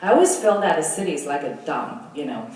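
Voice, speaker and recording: A woman's voice: deep voice, big pitch swings, fairly quick, a little mellow. A young woman from Taiwan, whose first language is Mandarin, giving a presentation as a designer, hesitant, with many ums and restarts. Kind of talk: presentation talk with slides. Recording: echoey hall, mic from far off.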